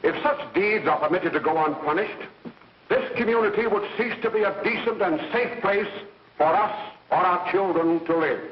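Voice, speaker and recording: A stern courtroom prosecutor acted by a man declaims with righteous weight in a high voice. It is a 1930s film soundtrack, echoey and heard from some distance. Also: moderate pace, highs cut off, a little hiss or hum, natural voice. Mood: righteous, grave. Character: stern male prosecutor pleading to a jury.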